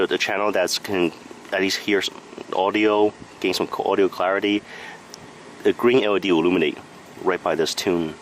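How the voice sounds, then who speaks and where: A man's voice: low-pitched, slight background noise, rough recording. A man narrating a product review step by step in a plain, matter-of-fact manner.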